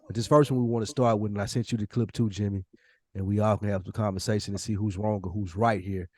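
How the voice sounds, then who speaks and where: Podcast host dude, slangy casual chat, kinda low voice, talks quick. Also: male voice, steady tone, darkish tone, no echo. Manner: slangy, informal.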